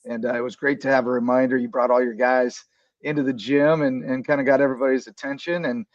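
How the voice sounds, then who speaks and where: A slightly raspy man with a drawl hosts a relaxed sports chat, easygoing and full of "uh"s. His mid-pitched voice sounds dry and a little dull. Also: fast, dry recording. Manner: easygoing, rambling with many fillers. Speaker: podcast host. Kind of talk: casual hoops talk show.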